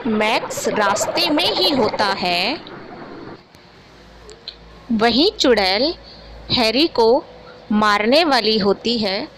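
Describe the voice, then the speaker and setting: A woman's voice: on the high side, expressive, very slow, long pauses, low-quality recording. A woman giving scripted storytelling narration for a horror cartoon. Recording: very loud ambient noise behind voice.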